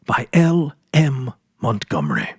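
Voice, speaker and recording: A man's voice: medium pitch, huge pitch swings, takes it slowly, a little mellow, husky, no echo, studio quality. A man narrating a story as a dramatic audiobook reading.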